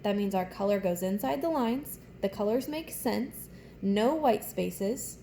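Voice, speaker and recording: A woman's voice: mid-range voice, expressive, measured pace, gravelly, mellow. A woman elementary teacher reading aloud and explaining things simply to young children. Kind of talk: children's read-aloud and writing lesson. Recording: faint background noise.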